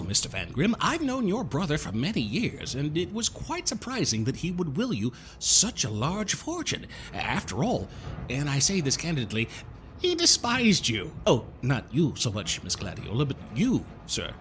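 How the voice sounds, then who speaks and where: Man playing a high, florid, courtly gentleman stranger. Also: huge pitch swings, normal speed, a little hiss or hum, poor audio. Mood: courtly, needling. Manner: florid, over-polite, theatrical, wry. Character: suave, courtly gentleman stranger, slyly mocking and theatrical. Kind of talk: audio drama acting.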